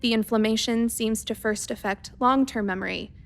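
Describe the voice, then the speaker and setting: A woman's voice: a little high, fairly even intonation, moderate pace, creaky. A woman playing a methodical, worried spaceship doctor dictating a research log in a radio play, clinical and precise but hesitant. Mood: clinical, measured.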